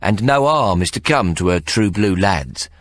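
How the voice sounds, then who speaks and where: A man's voice: lowish voice, animated, measured pace. A man voicing a sly, scheming male showman who announces grandly and wheedles. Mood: grand announcing.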